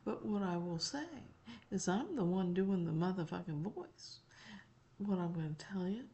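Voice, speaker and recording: A woman voicing a folksy, elderly gentleman and former president in an emphatic, deliberate, profane channeled monologue. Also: lowish voice, highs cut off, natural voice. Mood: insistent, emphatic. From North Carolina, United States. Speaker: spiritual channeler.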